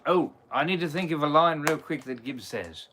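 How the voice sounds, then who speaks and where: A man doing live-stream shout-outs in a theatrical, playful pirate drawl with a put-on pirate English accent. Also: a little high, lively intonation, moderate pace, a little hiss or hum. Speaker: impressionist streamer.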